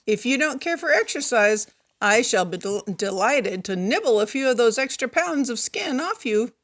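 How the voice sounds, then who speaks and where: Woman voicing a sly male tiger, smooth, vain, storybook. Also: fairly high pitch, expressive, normal speed, a bit bright, no room sound, studio quality.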